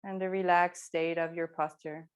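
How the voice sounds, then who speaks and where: A woman teaching yoga, giving calm, unhurried and gently encouraging guidance through movement and breathing. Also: fairly low pitch, clean tone. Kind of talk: guided slow movement and breathing instruction.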